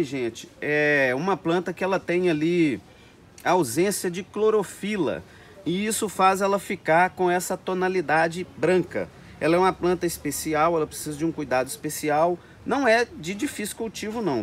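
Chatty orchid grower in greenhouse. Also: male voice, fairly high pitch, a little hiss or hum. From Brazil. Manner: chatty, keeps addressing viewers as "gente". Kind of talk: casual talk to camera with growing tips. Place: indoors in a greenhouse full of orchids.

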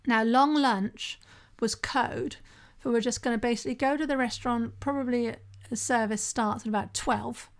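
A woman in middle age hosts her own podcast alone. Her voice sits a little high, and she talks in a loose, conversational way, stopping to restart a phrase and asking "right?" to keep the listener with her. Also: fairly even intonation, normal speed, hardly any pauses. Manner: conversational, often asks "right?", occasional restarts. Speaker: podcast host and sober-living coach. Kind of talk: solo podcast monologue.

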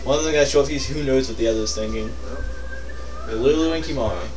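A man's voice: mid-range voice, brisk. A man giving casual, wisecracking gameplay commentary, explaining things to his co-player as he goes. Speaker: let's play co-commentator. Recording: distant mic with game music behind. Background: video game music and sound.

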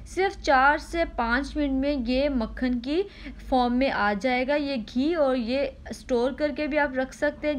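High-voiced woman. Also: slight background noise. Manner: patient, repeats instructions, frequent "ah" pauses. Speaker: cooking channel host. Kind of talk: step-by-step cooking demonstration.

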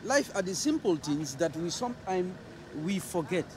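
A man, a travel vlogger, chatting casually on a city square at night, animated and repeating his phrases, filmed on a handheld camera. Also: sits high, normal speed, noisy background. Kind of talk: casual street chat. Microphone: handheld action camera.

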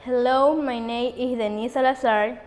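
A woman's voice: fairly high pitch, steady tone, moderate pace, a little mellow, soft background music. A woman from Ecuador giving a simple, recited student testimonial. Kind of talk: prepared testimonial.

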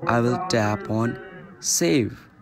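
A man's voice: medium pitch, slow pace, vocal fry, crowd noise. A man presenting a step-by-step app tutorial in simple, instructional narration.

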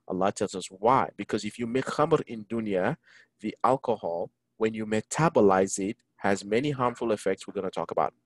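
A man teaching an online Quran lesson, engaging his listeners and reciting Arabic verses quickly. English is not his first language. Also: on the low side, no room sound, rough recording. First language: neither Arabic, English nor French. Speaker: imam teaching a Quran class. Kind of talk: online Quran lesson over a video call. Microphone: video call.

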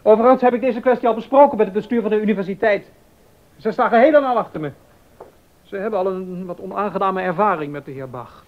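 A high-pitched actor's voice as an indignant male musician in a period docudrama, formally insisting on his rights. The recording is dull and lo-fi. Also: fast talker, natural voice. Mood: formal, insistent. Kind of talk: Dutch docudrama acting.

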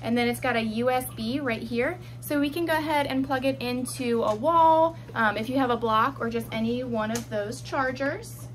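A woman's voice: fairly high pitch, normal speed, hardly any pauses. A woman in her thirties giving a chatty, instructive product demonstration to camera, often checking in with the listener. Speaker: product reviewer. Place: small indoor room at home, seated.

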